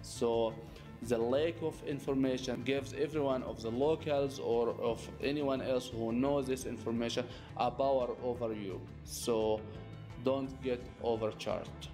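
A man whose first language is Arabic explains business tips to camera from a small office, earnest and repetitive, with small grammar slips. His voice sits at an ordinary middle pitch. Guitar music plays clearly underneath. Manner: earnest, repeats phrases, non-native grammar slips. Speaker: business channel host. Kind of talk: presenting a masterclass to camera. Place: small office with lamps and chairs. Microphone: seated facing camera, medium shot.

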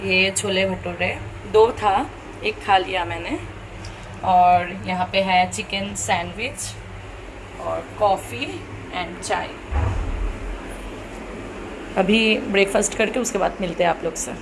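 A woman's voice: mid-range voice, steady tone, slow pace, pauses often. Chatty young woman vlogging on phone. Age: young adult. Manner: chatty, exclaims often. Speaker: travel vlogger. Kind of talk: casual travel vlog narration. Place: hotel room, then outdoor rooftop pool. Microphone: handheld phone at arm's length. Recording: noisy phone audio with ambience.

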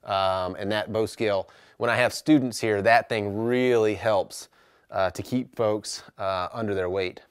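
A man's voice: on the low side, measured pace, clear, resonant tone, dry recording, studio quality. A man in his thirties giving a casual, practical tour of his bow-building workshop, hesitating with frequent "uh"s and letting his voice fall off at the ends of thoughts. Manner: relaxed and practical, many "uh"s, trails off quietly at ends of thoughts. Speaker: bowyer giving a shop tour. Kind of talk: casual walkthrough explaining his tools. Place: large workshop with plywood walls, indoors.

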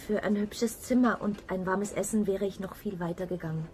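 A woman's voice: mid-range voice, fairly even intonation, fairly quick, crowd noise, lightly acted. A woman playing a polite, curious young servant in a German mystery radio play, with an inquisitive way of speaking. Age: young adult. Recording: roomy, distant, noisy background ambience.

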